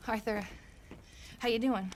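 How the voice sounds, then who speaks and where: A woman's voice: a little high, steady tone, unhurried, a little hiss or hum, lightly acted. An actress playing a worried, wry American frontier woman in a game. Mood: worried, guarded. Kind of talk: dramatic game cutscene acting.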